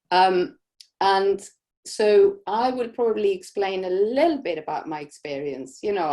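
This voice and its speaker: Chatty woman from Argentina, lowish voice. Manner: warm, chatty, many "you know" fillers. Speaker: host of a live Q&A for business owners. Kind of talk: casual live Q&A session.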